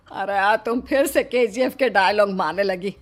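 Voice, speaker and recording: A woman's voice: medium pitch, measured pace, slight background noise, pitched below the performer's own voice, close to an everyday voice. A woman voicing a worried older mother in a cartoon comedy, coaxing and motherly with her grown family. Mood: worried, chiding. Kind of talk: Hindi cartoon comedy voice acting.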